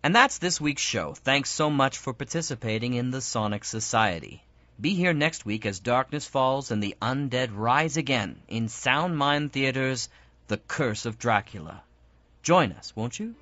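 A man's voice: mid-range voice, lively intonation, measured pace, no echo. A man hosting a radio show, reading a scripted sign-off. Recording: dull audio under loud music.

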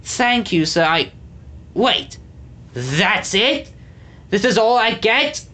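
A very old, frail storybook man, voiced by the narrator who pleads in a raised, strained voice. His talk is rough and working-class, full of need. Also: slow, fairly bright tone. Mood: indignant. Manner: high, desperate pleading, working-class dialect.

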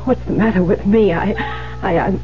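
An actress playing an elegant, agitated wife in a 1970s radio drama, theatrical and halting, with stammers. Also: female voice, medium pitch, lightly acted. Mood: frightened. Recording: muffled old radio broadcast, music.

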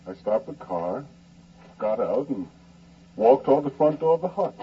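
An actor playing a poet telling a wry anecdote in a 1940s radio drama, measured and conversational. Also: male voice, mid-range voice, very animated, close to an everyday voice. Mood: wry, reflective. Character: well-known male poet recounting a wry travel anecdote. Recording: muffled, hissy 1940s radio recording.

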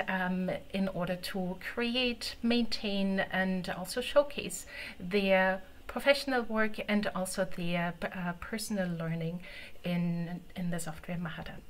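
A woman with a German accent, German being her first language, giving an online conference talk. She speaks in a mid-range voice, conversational and unhurried, with frequent ums between phrases. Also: medium pitch, fairly bright tone, rough recording. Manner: conversational, many filler ums. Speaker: conference presenter. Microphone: video call.

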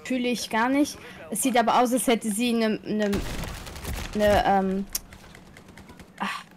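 A woman with a fairly high voice talks casually in a group voice chat. Her manner is teasing and informal. There is faint game noise behind her. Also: fairly even intonation, slow.

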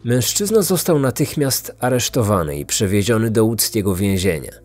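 A man's voice: lowish voice, big pitch swings, normal speed, darkish tone. A man hosting and narrating a true-crime story from a script. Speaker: podcast host, narrator. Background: background music.

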